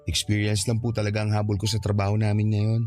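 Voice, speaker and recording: A man's voice: on the low side, completely flat delivery, fast talker, darkish tone, soft background music, lightly acted. A man playing a confident, polite young suitor in a radio drama, speaking easily with a Filipino accent. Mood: polite, deferential. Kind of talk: Tagalog radio drama acting.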